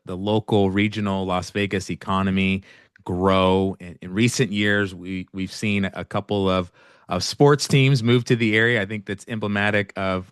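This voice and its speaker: Low-voiced man hosting, dry sound. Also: a bit bright, smooth, no echo. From Oakland, California. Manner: measured, friendly questioning with occasional repeats. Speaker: podcast host. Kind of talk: interview podcast.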